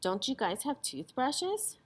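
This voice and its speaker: Smug young brother voiced by a woman, storybook, emphatic, exclaiming, lifted pitch, very lively. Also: takes it slowly, exaggerated voice. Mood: scornful. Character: rule-obsessed young boy, smug, bossy brother.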